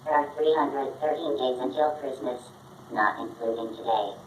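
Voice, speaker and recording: Polite robot helper, synthetic male, mid pitch, heard from a distance through a device with faint noise. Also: normal speed, reverberant. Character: polite, helpful homemade robot assistant. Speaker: robot voice assistant. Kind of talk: synthetic assistant announcements.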